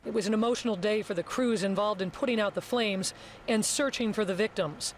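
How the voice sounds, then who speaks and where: A woman's voice: medium pitch, brisk, a little hiss or hum. A woman with an American accent giving a live local television news report from the field. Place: outdoors at a rural scene.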